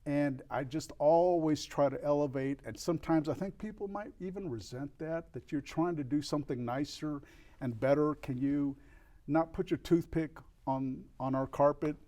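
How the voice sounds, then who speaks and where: An older man from El Paso, a record store owner, speaking as an interview guest in a reflective, earnest way, with frequent restarts and pauses. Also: a little high, mellow. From El Paso, Texas. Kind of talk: candid interview conversation.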